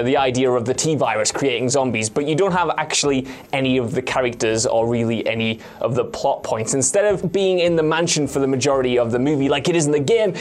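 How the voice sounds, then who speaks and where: A man's voice: a little high, a little mellow. A man presenting in a casual film chat, enthusiastic and self-mocking, rambling as he gives his opinions. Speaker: video game show presenter.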